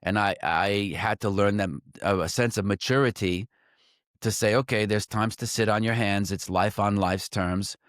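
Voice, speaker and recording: A man's voice: on the low side, steady tone, normal speed, dry recording, studio quality. A man talking as a trading coach, reflecting aloud in a loose, conversational way, full of "like" and "um" and restarting his sentences as he goes. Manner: restarts and self-corrections mid-sentence. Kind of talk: reflective solo talk to camera.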